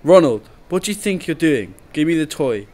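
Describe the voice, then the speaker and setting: A man's voice: on the high side, animated, normal speed, a little mellow, natural voice. A man playing a firm, scolding father in a radio drama. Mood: stern. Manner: firm, reproving. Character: stern, scolding father of a young boy. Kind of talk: amateur radio drama acting. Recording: slight background noise.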